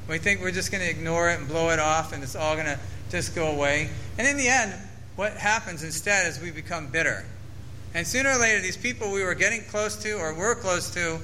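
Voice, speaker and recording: A man's voice: high-pitched, pushed and bright, a little hiss or hum, rough recording. A man preaching a sermon to his church, earnestly reading scripture aloud and explaining it. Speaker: pastor.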